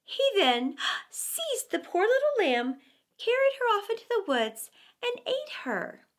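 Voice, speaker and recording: A middle-aged woman reading aloud to children as a storyteller, with theatrical, deliberate phrasing. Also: very high voice, sing-song. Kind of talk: expressive read-aloud for children.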